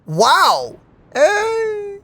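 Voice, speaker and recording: A woman hosting a gaming channel, reacting to a game with excited, playful comments. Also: very high-pitched, extremely slow.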